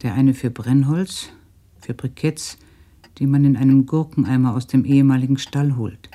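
A man's voice: mid-range voice, normal speed, warm tone, lightly acted. An actor playing a sober, subdued village man who describes daily life plainly in a literary radio play.

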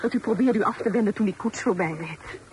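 A woman playing a proud, sensitive young lady in a period radio drama, formal and earnest, halting when upset. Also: mid-range voice, darkish tone, lightly acted. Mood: wounded, reproachful. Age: young adult. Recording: slightly noisy old radio recording.

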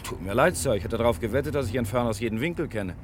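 A man's voice: medium pitch, lively intonation, fast, slight background noise, close to an everyday voice. Fields: Sex: man. Figure: a man playing an easygoing police sergeant. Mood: casual. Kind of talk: 1950s-80s radio drama acting.

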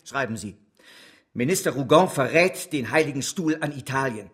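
An actor playing a domineering, grandiose financier in a radio drama, speaking in a commanding, declamatory way. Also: male voice, mid-range voice, expressive, normal speed, fairly bright tone, lightly acted. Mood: brisk, commanding.

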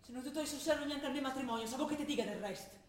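A woman's voice: high voice, close to an everyday voice. A woman playing a defiant young daughter. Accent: Trentino. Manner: quick, firm and quietly defiant. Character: young woman, a determined daughter just graduated. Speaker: stage actor. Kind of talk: theatre drama broadcast on radio. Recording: distant, very echoey stage sound.

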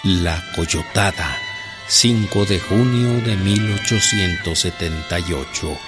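A man narrates solemnly for radio. His voice is fairly low and very measured in pace, with loud string music behind it.

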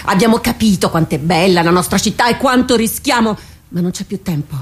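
A woman playing a tormented female warrior captain in a radio drama, speaking gravely and haltingly, with introspective weight. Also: medium pitch, natural voice. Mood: grave, resolute.

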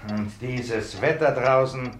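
An actor playing an affable, courteous military captain with a placating manner in a 1950s German radio play. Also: male voice, medium pitch, slow, a little hiss or hum, close to an everyday voice. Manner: polite, placating.

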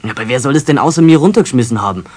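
An actor playing a guilty, sheepish teenage apprentice in a children's radio play, with a husky voice, a Bavarian accent and a defensive, whiny manner. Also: male voice, mid-range voice, lively intonation, very fast, a little mellow. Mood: flustered denial.

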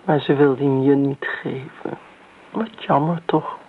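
Sardonic, creaky-voiced bitter daughter. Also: female voice, very deep voice, fairly even intonation, unhurried, heavily acted. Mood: sarcastic, reproachful. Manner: dry, sarcastic, pointed. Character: bitter, sardonic grown daughter, coolly needling an older woman. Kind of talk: Dutch radio play acting. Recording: noisy, narrow-band old radio recording.

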